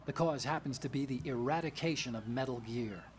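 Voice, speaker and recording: An actor playing an earnest male engineer ally who explains things in a measured way. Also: medium pitch, slight background noise, lightly acted.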